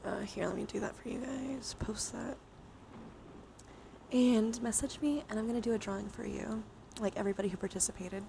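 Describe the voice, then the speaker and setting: Woman, ASMR streamer, soft and often whispery, gravelly fry, medium pitch, clear background noise. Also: steady tone, a little fast. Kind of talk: ASMR, softly chatting with live viewers.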